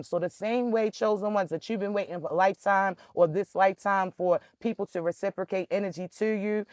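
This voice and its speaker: Raspy emphatic man with high voice. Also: dry recording. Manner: emphatic, preacherly, addresses listeners directly. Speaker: spiritual reader. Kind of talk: direct-to-camera spiritual message.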